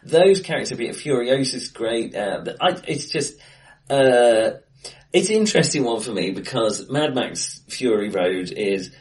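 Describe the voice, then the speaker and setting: A man hosting a casual film discussion in a hesitant, self-correcting conversational way, recorded in a bright, echoey room. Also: medium pitch, lively intonation, unhurried, recorded from a distance. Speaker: podcast host. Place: untreated, acoustically bright room, face to face.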